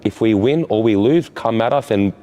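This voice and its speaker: A man, a futsal goalkeeper being interviewed, talking in halting bursts that lean on "like" and often restart. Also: fairly low pitch, warm tone. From Australia. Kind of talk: post-season player interview.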